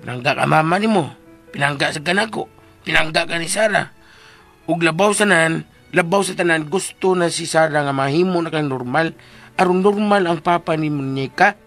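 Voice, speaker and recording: A man's voice: fairly high pitch, normal speed, a bit bright, soft background music. An actor playing a brash, teasing male friend in a radio drama, coaxing and needling with exclamations as he explains things. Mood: patient, coaxing.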